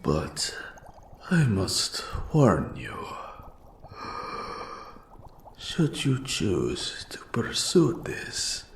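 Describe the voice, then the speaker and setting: A man voicing an eldritch mentor of dark magic in a scripted fantasy roleplay, whispering gravely with ominous, formal, archaic speech. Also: slight background noise, exaggerated voice. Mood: ominous warning. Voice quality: breathy whisper with gravelly low rasp. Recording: roomy echo on the voice.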